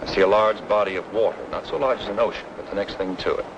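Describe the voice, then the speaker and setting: An actor playing a charming, smooth-talking man with a playful, teasing, theatrical manner in an old radio noir drama. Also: on the low side, quick pace, muffled recording, background noise, close to an everyday voice. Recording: vintage radio drama, music behind.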